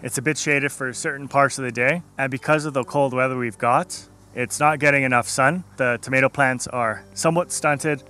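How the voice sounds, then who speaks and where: A man's voice: mid-range voice, fairly even intonation, moderate pace, pushed and bright, soft background music. A man in his thirties speaking casually and plainly as a gardening vlogger, explaining things as he goes. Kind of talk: casual narrated garden tour. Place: outdoors in a residential yard.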